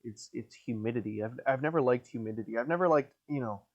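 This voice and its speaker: A young man with a nasal voice rambles alone into the mic, deadpan and crude, stumbling over his words. He sounds dry-mouthed and a bit coughy, like he's been sweating in a hot basement all day. His pitch sits right in the middle for a man. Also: quick pace, muffled recording. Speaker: podcast host. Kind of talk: solo rambling podcast monologue.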